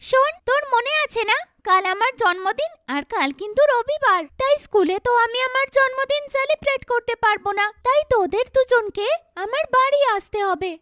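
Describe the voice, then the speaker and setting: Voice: very high voice, normal speed, bright, forceful tone, dry recording, clean studio sound. A performer voicing an earnest, chatty young schoolgirl in a children's cartoon, with exaggerated, emphatic childlike delivery. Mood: insistent.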